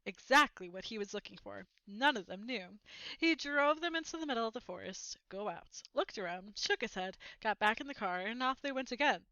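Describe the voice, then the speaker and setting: A woman reading a children's book aloud as an expressive storyteller. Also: on the high side, moderate pace, dry recording, highs cut off.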